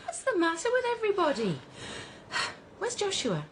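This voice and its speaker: An excitable commune woman with a very high, swooping voice. She is insistent and questioning, in radio drama style, over faint ambience. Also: normal speed, slight background noise, heavily acted. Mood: alarmed.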